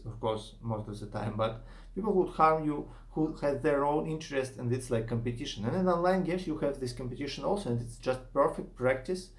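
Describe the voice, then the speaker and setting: A man with a Russian accent, a native Russian speaker, talking casually to viewers as a gamer and searching for his words. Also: mid-range voice. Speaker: gamer and YouTuber. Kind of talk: casual vlog monologue. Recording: echoey room.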